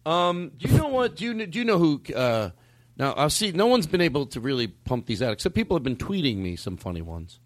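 A man's voice: a little high, sing-song, brisk, dry recording. A man hosting a comedy podcast in casual, joking chat, swearing freely and teasing himself and others. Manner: profane, teasing, self-deprecating banter.

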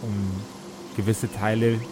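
A man narrating an improvised tabletop fantasy game as game master, in halting, deliberate phrases. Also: fairly low pitch, completely flat delivery. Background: background music.

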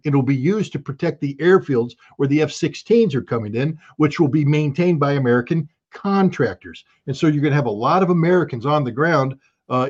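A man's voice: on the high side, darkish tone, muffled recording. A man giving blunt, emphatic and scornful political commentary as an interview guest.

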